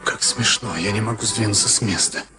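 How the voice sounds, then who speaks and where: An actor playing a weary, dazed prizefighter who speaks in halting, broken phrases in a Soviet radio play. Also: male voice, on the low side, lightly acted. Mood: dazed, wondering. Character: weary, dazed male prizefighter, brooding and wry. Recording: very noisy old radio recording.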